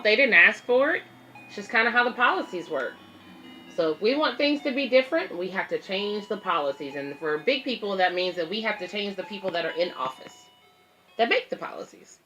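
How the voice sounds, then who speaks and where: A woman's voice: on the high side, pushed and bright, rough recording. A woman reading a picture book aloud as a babysitter, explaining it simply to children and parents with casual asides. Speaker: babysitter and children's story reader.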